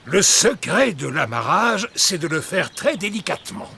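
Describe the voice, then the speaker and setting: A man voicing a fussy, anxious old grandfather pig with a gravelly voice and emphatic delivery in a children's cartoon. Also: sits high, sing-song, normal speed, background noise, heavily acted. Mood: smug.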